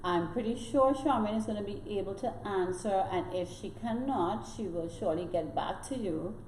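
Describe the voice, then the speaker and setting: A woman's voice: medium pitch, normal speed, a little mellow. A woman co-hosting a live talk show, cheerful and encouraging toward the viewers. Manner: cheerful, encouraging, laughs easily. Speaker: co-host. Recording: echoey room with faint noise.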